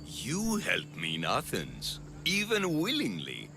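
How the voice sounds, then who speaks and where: A man's voice: medium pitch, huge pitch swings, takes it slowly, gravelly, noisy background, full character voice. A man playing a smug, power-hungry politician of ancient Athens in a video game, speaking in grandiose oratory. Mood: triumphant, gloating. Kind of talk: cinematic video game acting.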